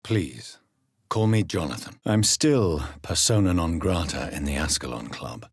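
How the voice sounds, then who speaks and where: An actor playing a refined, brooding doctor turned vampire in a video game, formal and articulate, with a deep, husky voice. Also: male voice, animated, unhurried, no echo, studio quality, exaggerated voice. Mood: measured, grave. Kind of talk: dramatic video game voice acting.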